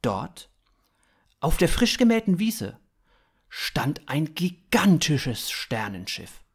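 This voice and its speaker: A man in his thirties narrating scripted fiction in a dramatic, expressive way. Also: a little high, slow, slightly rough.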